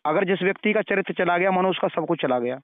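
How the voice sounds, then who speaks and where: Man, clear resonant voice, moralizing phone caller who repeats himself to make points; dry, telephone-grade sound. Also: high voice, fast, no echo. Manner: moralizing, preachy, repeats phrases. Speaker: caller questioning and advising the other party. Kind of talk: phone conversation. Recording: narrow tinny phone-call recording.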